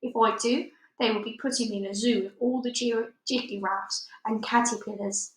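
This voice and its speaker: Woman voicing a gentle, gloomy giant, high-pitched. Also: steady tone, moderate pace, roomy sound, lightly acted, rough recording. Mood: gloomy, resigned. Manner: garbled, ungrammatical folksy speech. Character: big friendly male giant, gloomy and gentle.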